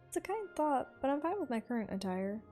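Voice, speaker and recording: A woman gives casual game commentary in a fairly high, soft-edged mellow voice. She drops slangy, joking asides and reads lines aloud. Faint music plays behind. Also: big pitch swings, fast. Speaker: game streamer.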